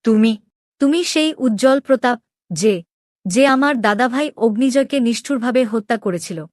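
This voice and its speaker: A woman plays a young princess in a cartoon drama, her voice fairly high and closely recorded. She is proud and wounded in love, pressing her questions with open, demanding emotion. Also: moderate pace, no room sound, studio quality, natural voice. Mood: anguished, accusing. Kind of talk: dramatic Bengali animated story dialogue.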